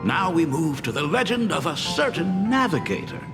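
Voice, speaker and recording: An actor playing a jovial, theatrical old father telling tales in a video game, with teasing, drawn-out delivery. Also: on the high side, very animated, creaky. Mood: theatrical storytelling. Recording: long hall reverb, music behind.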